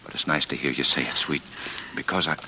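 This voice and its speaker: An actor playing a tender, resigned condemned husband in a 1940s radio drama, speaking gently and haltingly. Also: male voice, rumbling low, heavily acted. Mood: tender, regretful. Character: resigned, tender condemned husband, older than his wife. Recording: noisy vintage radio recording.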